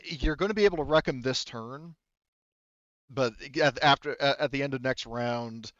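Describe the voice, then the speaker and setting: A man running a tabletop game as game master, casually talking through rules in a halting, thinking-aloud way. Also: mid-range voice, a bit bright, no room sound, muffled recording.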